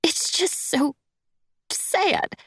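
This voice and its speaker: A woman's voice: medium pitch, moderate pace, creaky, pitched above the performer's own voice. A woman voicing a tearful, vain young trophy wife in an audiobook, with a whiny, sniffly delivery. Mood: tearful, distraught.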